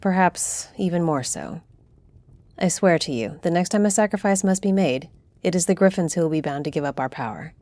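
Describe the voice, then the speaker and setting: Woman acting as stately, mellow-voiced council leader. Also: lowish voice, dry recording, close to an everyday voice. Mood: solemn. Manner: formal, authoritative, deliberate. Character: stately female griffin-shifter council leader, solemn, fair-minded diplomat. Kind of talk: fantasy audio roleplay acting.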